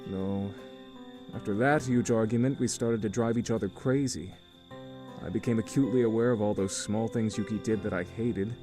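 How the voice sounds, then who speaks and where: A man playing a weary, middle-aged uncle with a failing marriage, earnest and measured, in a visual novel game. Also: on the low side, steady tone, over music, close to an everyday voice. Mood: resigned confiding.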